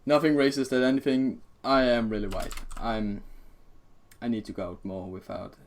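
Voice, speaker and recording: A man chatting casually and jokingly in a halting way as host of a gaming video. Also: mid-range voice, level tone. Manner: halting, often interrupting. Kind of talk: casual joking chat over a game recording. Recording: noisy home mic, keyboard clicks. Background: keyboard typing and clicking, background noise.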